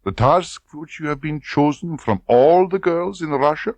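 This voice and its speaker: Man doing a female Soviet colonel, menacing, commanding, oily, raised loud voice, swooping pitch, dry close sound. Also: lively intonation, normal speed, dry recording. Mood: briskly instructing. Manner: stern and commanding, then oily and coaxing. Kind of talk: audiobook character voice.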